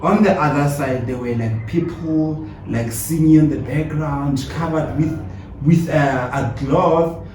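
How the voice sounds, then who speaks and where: A man's voice: on the high side, unhurried, darkish tone. A South African man working as an interviewer, asking questions in a casual, conversational way. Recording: very echoey room, distant mic, faint noise.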